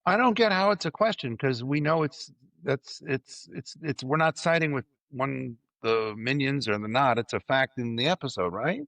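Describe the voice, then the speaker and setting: A man's voice: medium pitch, normal speed, fairly bright tone, no room sound. A man talking casually as a podcast panelist, explaining things and often correcting himself as he goes.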